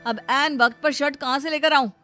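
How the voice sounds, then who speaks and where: A woman's voice: high-pitched, steady tone, a little fast, pushed and bright, soft background music. A woman voicing a young office-going husband in a cartoon moral story, with a pleading, easily exasperated manner. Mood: upset, accusing.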